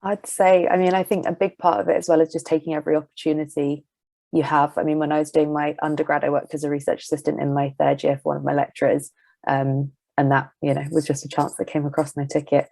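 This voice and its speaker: Low, gravelly young woman. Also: fast, dry recording. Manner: earnest advice-giving, steady. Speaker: guest, trainee clinical psychologist. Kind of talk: casual interview answers.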